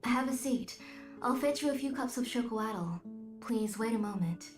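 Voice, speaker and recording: A woman playing a gentle, hospitable middle-aged village mother in an anime game, speaking in a motherly way. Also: fairly high pitch, animated, normal speed, faint music behind, lightly acted. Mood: apologetic, weary.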